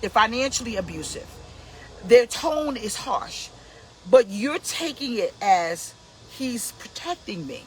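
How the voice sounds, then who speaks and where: A woman's voice: fairly high pitch, very animated, slow pace, a little hiss or hum, rough recording. A woman in her fifties hosting a casual motivational talk, emphatic and preachy, repeating her key points. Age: 50s. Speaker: host, pastor.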